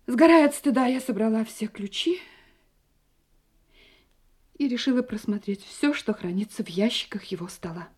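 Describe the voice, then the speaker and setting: An anxious young wife in a radio drama, her voice fairly high but soft and mellow. She often drops into agitated whispers as she talks to herself. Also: normal speed, long pauses, husky. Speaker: heroine and narrator of a radio play. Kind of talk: radio play acting.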